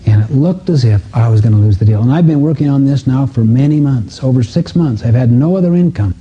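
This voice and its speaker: Dark, husky-voiced man giving a seminar. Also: mid-range voice, expressive, fairly quick. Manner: earnest, emphatic, storytelling delivery. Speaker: seminar speaker. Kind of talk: motivational seminar lecture with anecdotes. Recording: dull muffled lo-fi audio.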